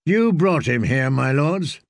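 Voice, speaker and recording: A man acts out a cunning fantasy lord for an audiobook. The voice is raised in pitch and unhurried, with a creaky edge. He speaks formally and emphatically, cold and in command. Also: lively intonation, no echo, heavily acted. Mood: cold anger. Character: cunning nobleman, coldly angry, commanding, smooth in bargaining. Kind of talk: dramatic audiobook character voice.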